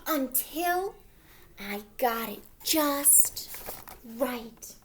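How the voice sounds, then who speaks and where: A woman voicing an anxious, wistful egg who tells his own storybook tale in an earnest, expressive way. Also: high-pitched, extremely slow, slight background noise, full character voice. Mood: determined, hopeful. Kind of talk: picture-book read-aloud.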